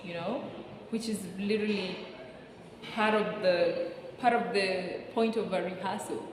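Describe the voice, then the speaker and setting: Female Kenyan review co-host, conversational and questioning, medium pitch, very reverberant room. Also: fairly even intonation, measured pace. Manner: questioning, emphatic repetition. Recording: echoey hall with faint noise.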